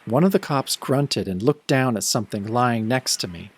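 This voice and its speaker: A man's voice: mid-range voice, animated, normal speed, slightly rough. An actor playing a world-weary young man cursed as a werewolf, narrating his own story in old radio horror style, brooding and sardonic. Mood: wry, grim. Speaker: first-person narrator of an audio drama.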